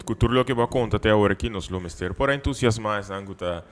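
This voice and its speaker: A man, a Papiamento speaker, hosting a conversational interview in a wordy, halting way. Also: fairly low pitch. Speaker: talk show host.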